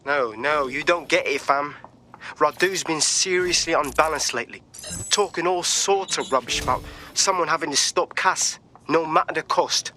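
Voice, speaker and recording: A man's voice: on the high side, normal speed, pushed and bright, slight background noise. A man playing a worried, streetwise resistance member with a London accent in a video game, slangy and urgent. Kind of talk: game cutscene voice acting.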